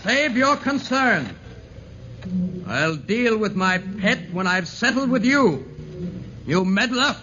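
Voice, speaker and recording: High-pitched, menacing mad hunter. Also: male voice, sing-song, slow, pauses often, bright, forceful tone, full character voice. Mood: defiant contempt. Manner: menacing, theatrical. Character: arrogant, unhinged male big-game hunter, murderous husband. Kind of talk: 1940s radio melodrama acting. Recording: muffled, noisy 1940s radio recording.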